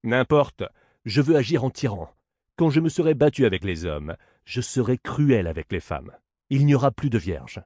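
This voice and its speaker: A man's voice: medium pitch, expressive, normal speed, a little mellow, no room sound, clean studio sound, close to an everyday voice. A man voicing a swaggering, crude servant in a stage play who brags and blusters, spoiling for a fight. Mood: crude bragging.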